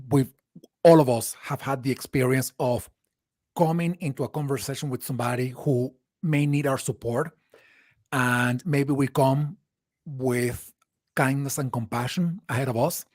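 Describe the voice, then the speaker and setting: An earnest man hosting a live interview chat, talking in long reflective sentences and often restarting his phrasing. His voice sits at an ordinary middle pitch. The sound is dry and close, with no room around it. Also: dry recording. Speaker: host.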